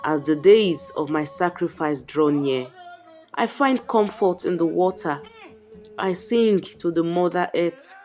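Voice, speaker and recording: A woman voices a young folktale queen, composed and deferential as she guards a secret. Her speech is careful and slow, in a lowish voice over gentle music. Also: natural voice. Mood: calm, guarded.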